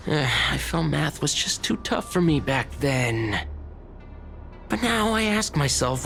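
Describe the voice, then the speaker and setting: An actor voicing a chatty, weary, teasing researcher in a video game, with sighs and muttered asides. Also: medium pitch. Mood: rueful. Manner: expressive, sighing, muttering asides. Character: chatty, weary, teasing station researcher, a grumbling office senior. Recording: studio voice over game music.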